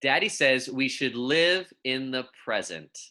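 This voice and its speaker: Bright-voiced teacher reading aloud. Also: mid-range voice, very animated, unhurried. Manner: friendly, informal, encouraging. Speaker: elementary school teacher. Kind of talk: read-aloud lesson for young children.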